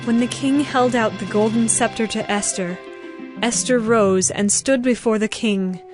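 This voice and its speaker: High-pitched woman narrating scripture over music. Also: measured pace. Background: faint background music.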